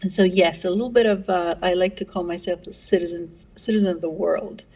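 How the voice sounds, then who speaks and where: A woman's voice: lowish voice, fairly even intonation, brisk, a little mellow, slightly rough, far from the mic. A middle-aged woman, a neurologist answering interview questions in a friendly way with many fillers. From Greece. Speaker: neurologist guest.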